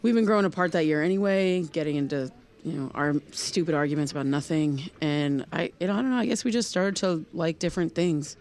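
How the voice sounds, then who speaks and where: A woman playing an awkward, guarded young loner in naturalistic audio drama, speaking in a hesitant, halting way. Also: low-pitched, darkish tone. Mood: reflective, uneasy.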